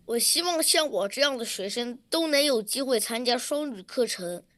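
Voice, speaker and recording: A woman's voice: fairly high pitch, expressive, normal speed, fairly bright tone, dry recording. A woman reading a prepared public statement in short recited sentences. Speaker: student public speaker.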